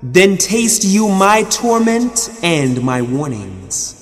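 Slow, high-voiced man reading scripture solemnly. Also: sing-song, soft background music. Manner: measured, formal, deliberate phrasing. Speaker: reader of Quran translation. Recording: long hall reverb.

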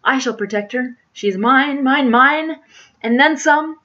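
A woman's voice: high-pitched, unhurried, sharp tone, dull audio, pitched above the performer's own voice. Woman acting a gushing, declamatory young melodrama hero. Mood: heroic, defiant. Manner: declamatory, grandly romantic. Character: earnest young male melodrama hero, florid, gushing, self-important.

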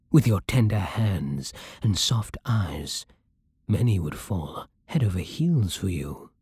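A man with a British accent voicing a possessive, regal vampire king in an ASMR roleplay, soft, breathy and condescending. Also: low-pitched, no echo, exaggerated voice. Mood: possessive, coaxing. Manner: slow, menacing, haughty purring.

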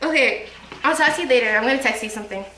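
A woman's voice: sits high, very fast. A teenage young woman chatting casually and giggly with friends as a YouTube vlogger, in an echoey room. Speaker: YouTube vlogger making prank calls. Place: small living room indoors. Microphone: camera a short distance away. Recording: echoey room with light noise.

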